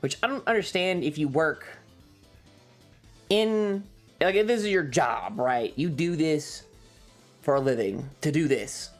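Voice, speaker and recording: Streamer rambling slowly, sweary and fed up, kinda halting, faint music behind. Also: mid-range voice, lively intonation. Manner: exasperated, sweary ranting. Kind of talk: casual rambling stream chat, personal anecdote.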